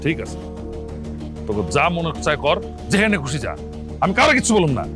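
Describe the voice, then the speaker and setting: An actor playing a stern, scolding elder uncle in a village family drama, with a Bangladeshi accent and an accusing manner. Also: male voice, fairly high pitch, sing-song, a little fast, music in the background. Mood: indignant, stern. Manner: accusing, interrogating, threatening. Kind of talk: television family drama acting.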